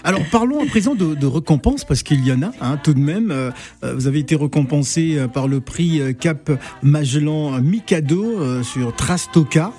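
A man hosts a relaxed radio interview with a slightly raised voice, stalling often on fillers as he builds his questions. Faint music plays behind him. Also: a little high, moderate pace. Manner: hesitant, full of "euh" fillers, playful. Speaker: radio host.

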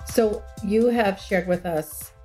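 A woman's voice: mid-range voice, slow, mellow, soft background music. A woman conducting a casual interview in an encouraging, affirming way, often rephrasing what she hears.

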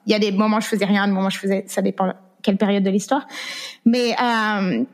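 A woman's voice: medium pitch, flat delivery, fairly quick. Hesitant woman in her thirties. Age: 30s. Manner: hesitant, many fillers, slips in English words. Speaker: guest sharing her story. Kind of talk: candid personal testimony.

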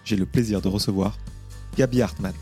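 A man hosting an interview podcast, reading a quick scripted introduction and then asking friendly questions. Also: on the low side, steady tone, warm tone, faint music behind. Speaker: podcast host.

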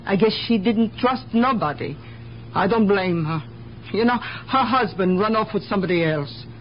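A woman's voice: mid-range voice, lively intonation, moderate pace, lightly acted. A guarded, plain-spoken immigrant woman as a radio actress plays her, weary of the world and speaking in broken grammar. The old mystery broadcast is dull, with a faint bed of noise. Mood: confiding, resigned. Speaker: actor in a radio drama. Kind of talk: 1970s radio mystery acting. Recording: dull, noisy 1970s radio broadcast recording.

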